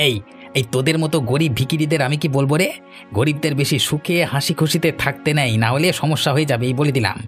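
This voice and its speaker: A man's voice: fairly high pitch, fast talker, soft background music, lightly acted. A man playing a bullying henchman of a village boss in a cartoon, with a taunting, threatening manner. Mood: sneering. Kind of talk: Bengali cartoon story acting.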